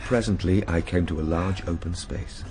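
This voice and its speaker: An actor playing a grave Victorian time traveller, narrating his ordeal in a measured, formal radio drama style. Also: sits low, fairly even intonation, background noise, lightly acted. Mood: tense, grave. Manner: measured, formal literary delivery.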